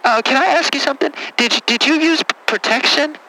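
A man's voice: very high-pitched, a little fast, sharp tone, exaggerated voice, poor audio. A man voicing a prim, pious churchgoer who scolds politely and hesitantly in comic banter. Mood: sanctimonious. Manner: polite, hesitant, preachy, prissy.

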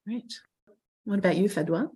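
Breathy woman interviewer. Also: medium pitch, moderate pace, warm tone. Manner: polite, formal questions. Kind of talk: scripted interview questions.

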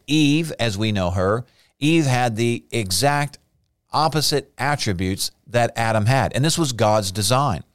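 Male Bible teacher, sermon delivery, clear resonant voice, emphatic. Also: medium pitch, no echo, clean studio sound. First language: not Hebrew. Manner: explains Hebrew words, emphatic bursts.

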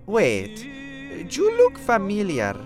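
Man playing a flamboyant designer, wildly swooping pitch. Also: wildly swooping pitch, faint music behind, full character voice. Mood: bewildered. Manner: drawn-out, campy, dramatic. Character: sassy, flamboyant, bewildered male fashion designer.

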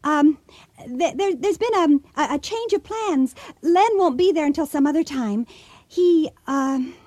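Radio drama: sweet, evasive wife, very lively high voice. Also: female voice, unhurried, no room sound. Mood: nervously cheerful.

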